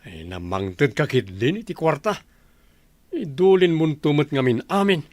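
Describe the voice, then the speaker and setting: A man's voice: a little high, animated, normal speed, dry recording, natural voice. An actor playing a selfish, scornful village man in an Ilocano radio drama, with an Ilocano accent. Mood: irritated, demanding.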